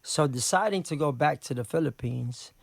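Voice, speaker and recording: A man's voice: a little high, expressive, creaky, crowd noise. A man who is a rapper with a Brooklyn accent, talking casually in an interview, rambling with many restarts and fillers. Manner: rambling, halting with many restarts and "you know what I'm saying". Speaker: rapper and guest.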